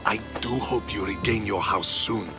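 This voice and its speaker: An earnest Spartan commander from a video game, voiced by a man, diplomatic and formal. His low voice moves expressively, with clear music underneath. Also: fairly even intonation, normal speed, lightly acted. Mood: courteous. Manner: formal, courteous. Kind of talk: video game cutscene acting.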